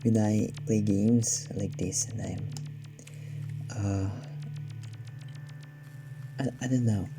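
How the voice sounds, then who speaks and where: A man giving casual, hesitant commentary while playing a game, his voice sardonic and a little down. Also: lowish voice. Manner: sardonic, slightly down-sounding, hesitant with many fillers. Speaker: let's play gamer. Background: game music.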